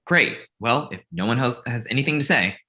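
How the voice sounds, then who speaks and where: Bright, animated, pushy young filmmaker Ryan played by a man. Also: mid-range voice, fairly quick. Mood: flustered. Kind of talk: comedic script table read.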